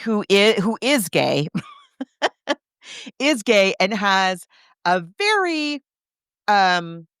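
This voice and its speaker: A woman's voice: mid-range voice, sing-song, extremely slow, long pauses, fairly bright tone, smooth, dry recording, studio quality. A woman hosting a podcast, discussing film casually in an enthusiastic, chatty, emphatic way. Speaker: podcast host.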